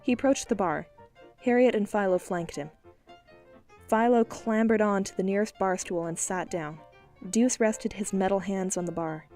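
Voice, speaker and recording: A woman narrating an audiobook with steady, clear storytelling. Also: mid-range voice. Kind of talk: scripted narration.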